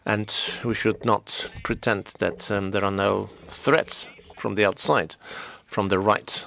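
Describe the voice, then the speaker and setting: A man interprets formal conference speeches in English with a low, gravelly voice. His delivery is steady and measured. Now and then his voice thins into a near-whisper. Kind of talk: simultaneous interpretation.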